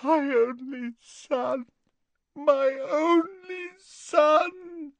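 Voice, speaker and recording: A man's voice: lively intonation, slow pace, no room sound, exaggerated voice. A man voicing a desperate, tearful aristocratic mother begging for her son in an audiobook reading. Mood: anguished. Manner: tearful, begging, high strained pleading.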